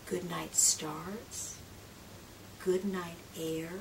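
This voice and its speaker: A middle-aged woman reads a picture book aloud to small children. Her delivery is slow, gentle and expressive, with drawn-out phrases and soft hushed moments. She is recorded at a distance from the microphone, with clear room noise behind her. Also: fairly low pitch. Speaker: children's read-aloud presenter and trainer. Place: small indoor room lined with picture books. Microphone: far camera microphone.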